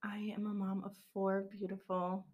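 A woman co-hosting a podcast with friends, chatting casually, animated and laughing often. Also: medium pitch, moderate pace, dull audio. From born in Georgia, raised in Florida and Texas. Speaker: podcast co-host, stay-at-home mom. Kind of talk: casual chat among friends.

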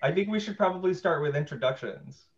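A man's voice: a little high, lively intonation, fast, dull audio, a loud crowd. A man co-hosting a casual podcast chat, cheeky and teasing, laughing a lot. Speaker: podcast co-host.

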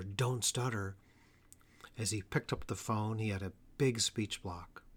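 Low-voiced man hosting a stuttering podcast. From Atlanta area, US. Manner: earnest, measured delivery with slow emphatic phrases. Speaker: podcast host, stuttering therapist. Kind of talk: solo educational podcast talk.

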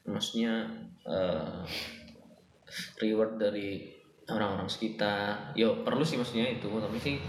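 A man's voice: fairly low pitch, measured pace, long pauses, echoey room, slight background noise, poor audio. A young man with a Javanese-tinged Indonesian accent, co-hosting a casual chat, agreeable, often chiming in and laughing easily. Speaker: podcast co-host.

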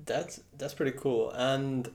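A man's voice: mid-range voice, fairly even intonation, slow pace, a little mellow. A young man casually interviewing someone, curious and inclined to explain his questions.

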